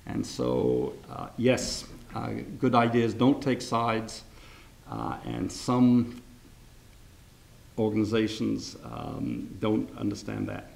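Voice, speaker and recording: A man's voice: on the low side, sing-song, takes it slowly, gravelly, darkish tone. An older man giving a seminar talk, telling family stories in a loose, rambling way, correcting himself and chuckling often. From grew up in France and England. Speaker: seminar speaker. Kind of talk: informal lecture with anecdotes. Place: lecture hall.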